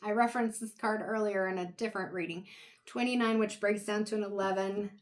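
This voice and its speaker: Woman, tarot reader, voice going hoarse, mid-pitched, reflective and meandering, talks to the viewer. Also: fairly even intonation, brisk. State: losing her voice, clearing her throat. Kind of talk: casual intuitive tarot reading.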